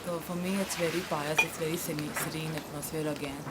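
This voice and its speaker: A woman's voice: low-pitched, flat delivery, a little fast. A woman textile artist describing her work, often searching for words and correcting herself. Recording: outdoor with loud noise.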